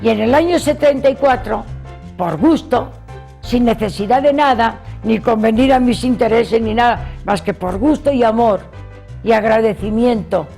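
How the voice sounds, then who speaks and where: A woman's voice: fairly high pitch, normal speed, creaky, mellow, soft background music. A woman, giving personal testimony in an interview. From born in Spain, grew up in Mexico. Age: 70s.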